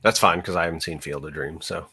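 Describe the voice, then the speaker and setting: A man's voice: low-pitched, fairly even intonation, fast talker, pushed and bright, breathy edge, no echo. A man co-hosting a podcast, discussing films in an earnest, conversational way. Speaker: podcast co-host. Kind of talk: casual film discussion.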